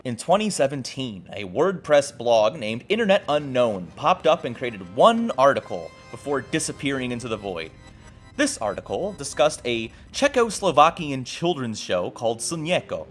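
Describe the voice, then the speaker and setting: A man's voice: medium pitch, sing-song, moderate pace. A man narrating a video essay as host in a wry, exclamatory, casual style with some swearing. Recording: music behind the voice. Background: background music.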